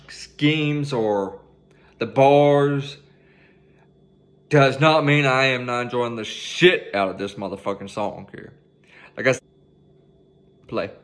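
A man's voice: mid-range voice, fairly bright tone. Laid-back young man reacting to a track. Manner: laid-back, swears freely, hypes up the track. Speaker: reaction video host. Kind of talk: casual reaction commentary. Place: living room at home, indoors. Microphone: headset mic.

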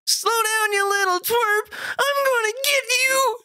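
A woman's voice: extremely high pitch, measured pace, sharp tone, dry recording, studio quality, full character voice. A woman playing a cocky young boy, an older brother, in an audio drama. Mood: angry.

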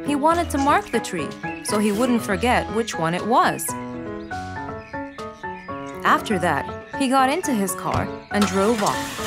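A woman's voice: on the high side, big pitch swings, a little slow. A woman narrator reading a scripted children's story. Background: music.

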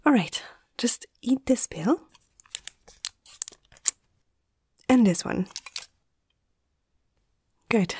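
A woman playing a caring young female veterinarian in a soft, hushed ASMR roleplay, speaking gently and a little hesitantly. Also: a little high, animated, slow, slightly rough, heavily acted. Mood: focused, caring.

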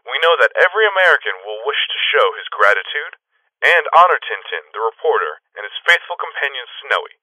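An actor playing an excited, earnest 1930s radio newsreader, with an old radio effect. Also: male voice, medium pitch, brisk, no room sound, heavily acted. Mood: emphatic. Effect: old radio filter. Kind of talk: 1930s radio news acting. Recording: dull, band-limited old radio sound.

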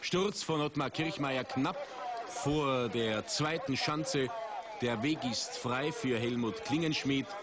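A man commentating a ski race for TV, measured and rising in excitement at big moments. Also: mid-range voice. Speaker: sports commentator. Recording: 1980s TV broadcast with outdoor noise.

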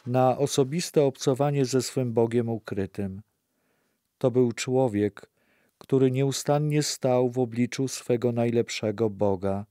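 A man reading scripted narration for an audio play in a measured, solemn manner. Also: medium pitch, dark tone, no room sound, studio quality.

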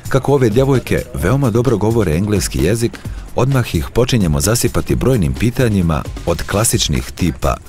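A man narrating a travel documentary as a scripted voice-over, in a measured storytelling way. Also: fairly low pitch, sing-song, a little mellow. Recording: voice-over with music behind.

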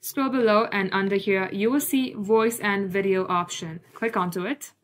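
A woman's voice: mid-range voice, moderate pace, slight background noise. A woman narrating a screen-recorded tech tutorial, giving plain step-by-step instructions.

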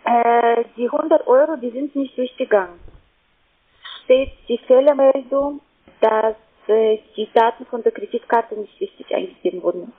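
Polite high-voiced woman on a phone line. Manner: polite, businesslike. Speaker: call centre sales agent. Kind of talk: scripted-sounding phone sales talk, polite. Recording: thin phone-line sound.

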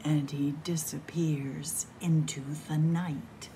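A middle-aged woman reading a picture book aloud for children, dramatic, with hushed whispers and drawn-out words. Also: low voice, little pitch movement, a little hiss or hum, poor audio. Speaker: library story time host. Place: small library room with bookshelves, indoors.

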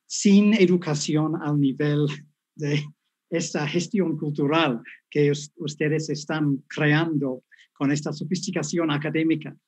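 Slow, husky English-accented Spanish-speaking professor. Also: male voice, on the high side, steady tone, a little mellow. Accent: English speaker's Spanish with Mexican and Colombian street touches. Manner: self-deprecating, chatty, frequent tag questions. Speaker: guest professor. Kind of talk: academic talk over video call. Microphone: video call.

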